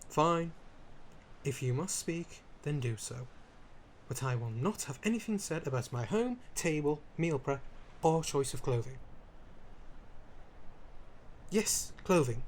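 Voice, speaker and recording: A man acts out a centuries-old vampire lord in an audio roleplay, speaking straight to the listener. He is haughty, theatrical and wry, fussy and quick to get indignant or flustered. His pitch is average for a man, and clear ambient noise runs behind the voice. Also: close to an everyday voice. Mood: exasperated. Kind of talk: scripted ASMR roleplay spoken to the listener.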